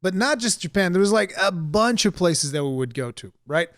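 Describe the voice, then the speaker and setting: A man from Portugal, co-hosting a podcast, telling stories in a casual, animated, emphatic way. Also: sits high, brisk, dry recording, clean studio sound. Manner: animated storytelling, emphatic asides. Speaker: podcast co-host. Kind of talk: casual chat.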